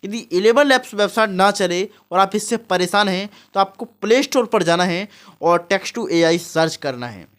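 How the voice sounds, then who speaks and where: A man's voice: sits high, lively intonation, moderate pace, a bit bright. A man hosting an informal, step-by-step screen tutorial. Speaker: tutorial host.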